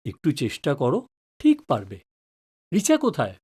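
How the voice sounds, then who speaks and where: A man's voice: on the high side, huge pitch swings, moderate pace, a little mellow, husky, full character voice. A man playing a patient, easily flustered middle-aged father who is wrongly accused in an audio drama. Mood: gently placating. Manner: stammers and repeats words when flustered. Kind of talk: Bengali audio drama acting.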